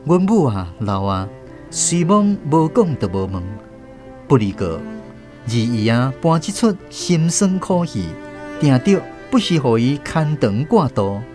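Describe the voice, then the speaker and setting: A man's voice: mid-range voice, big pitch swings, measured pace. An actor with a Taiwanese accent playing a reflective man narrating his family's past in a scripted radio story. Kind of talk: literary scripted first-person story narration. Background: music under the voice.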